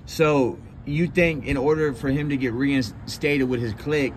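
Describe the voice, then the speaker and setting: A man's voice: medium pitch, measured pace. Man asking questions on a noisy street. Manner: relaxed, informal and follows up with questions. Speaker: street interviewer. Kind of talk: casual interview questions. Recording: outdoor street noise with passing cars. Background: traffic and street ambience.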